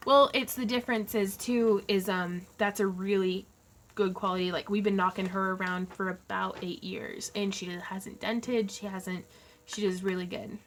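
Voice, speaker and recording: A woman's voice: medium pitch, fairly even intonation, moderate pace, a little hiss or hum. A woman hosting a casual craft livestream, chatty and laughing often.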